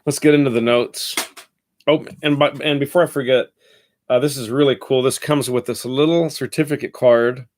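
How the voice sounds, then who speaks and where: A man's voice: mid-range voice, fairly even intonation, moderate pace, a little mellow. A man with a nasal voice, congested from a head cold, chatting through a casual fragrance review in a rambling, self-correcting way.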